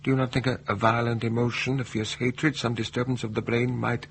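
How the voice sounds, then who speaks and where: An actor playing a formal, intense foreign professor in a radio drama, phrasing things in a stilted, deliberate way. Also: male voice, mid-range voice, level tone, vocal fry, slight background noise, natural voice. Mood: intense, probing. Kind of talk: British radio drama acting.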